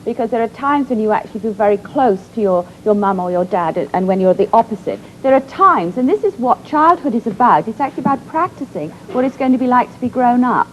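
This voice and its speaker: A woman speaking as an expert guest, calmly explaining family relationships. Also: a little high, fast talker, hardly any pauses. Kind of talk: explanatory commentary. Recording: lo-fi TV recording, faint hum.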